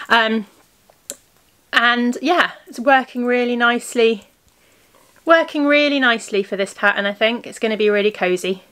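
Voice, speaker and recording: Hoarse woman chatting about her knitting. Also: a little high, normal speed, a bit bright. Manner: chatty and rambling, showing her knitting to the camera. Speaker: crafting podcast host and yarn shop owner. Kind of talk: casual video podcast chat.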